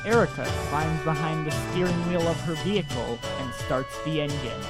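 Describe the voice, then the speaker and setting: A man with a slightly high voice narrates an audio drama from a script, describing scenes. Music runs clearly behind his reading. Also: moderate pace.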